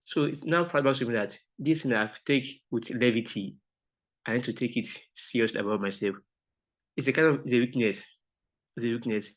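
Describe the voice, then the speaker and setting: A man's voice: medium pitch, a little fast. A man, a mentorship participant, sharing a reflection politely and hesitantly, with many restarts. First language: Yoruba. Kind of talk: sharing a reflection on a video call. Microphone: online call audio. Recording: dull lo-fi call audio.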